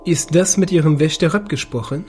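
An actor portrays a fugitive male pilot in his forties, soft-edged and mellow, pitched a little high. He stays laid-back and wry, in a sci-fi radio play with light music behind him. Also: brisk, lightly acted. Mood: wry, guarded. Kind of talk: science-fiction audio drama acting.

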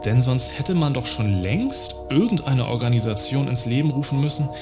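Man acting gravelly, portentous doomsayer. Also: medium pitch, animated, normal speed, natural voice. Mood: ominous. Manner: portentous, rhetorical. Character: gloomy, portentous doomsayer lamenting society. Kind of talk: scripted radio play dialogue. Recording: music behind the dialogue.

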